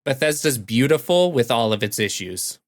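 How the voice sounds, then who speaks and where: A man's voice: mid-range voice, expressive, moderate pace, no echo, studio quality. A man chatting casually and enthusiastically about video games as a podcast co-host.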